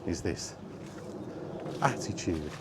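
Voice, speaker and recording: A man giving an unscripted opinion monologue in an emphatic, deliberate manner, leaning on rhetorical questions and repetition. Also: deep voice, expressive, very noisy. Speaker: video host sharing opinions on gaming.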